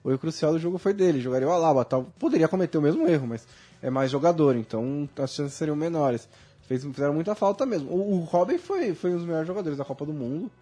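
Fast-talking husky Brazilian football pundit. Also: male voice, fairly high pitch. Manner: fast, animated, many restarts. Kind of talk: casual sports debate.

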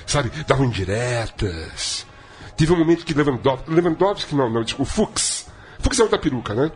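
A man, co-hosting a casual podcast. He rambles through his stories with a sardonic edge. His pitch leaps and dips all over the place. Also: big pitch swings, brisk. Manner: rambling, sardonic storytelling with big swings in pitch. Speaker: podcast co-host. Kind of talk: casual comedic chat.

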